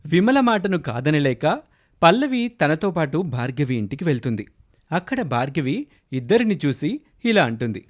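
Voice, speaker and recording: A male narrator tells a scripted children's story. His voice is on the high side and moves with animated, swinging intonation. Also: moderate pace.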